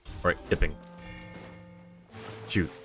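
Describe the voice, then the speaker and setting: A man's voice: slow pace, faint music behind. A tired man streaming a game late at night, chatting casually and reading game text aloud. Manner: relaxed, mumbling, reads game text aloud. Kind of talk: casual gameplay chat.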